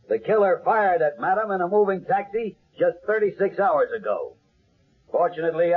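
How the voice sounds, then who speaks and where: A man's voice: sits high, breathy edge. A man playing a stage psychic's loyal, streetwise partner and showman in an old-time radio drama. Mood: grandiose. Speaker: actor. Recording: muffled, narrow-band old radio recording.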